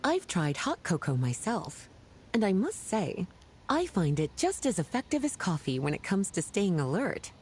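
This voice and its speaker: An actress playing a playful, flirtatious, well-read woman in a video game, speaking in a coy, polished, unhurried way. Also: mid-range voice, sing-song, a little mellow, a little hiss or hum, natural voice. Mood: composed, caring. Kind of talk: scripted video game character dialogue. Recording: game music behind the voice.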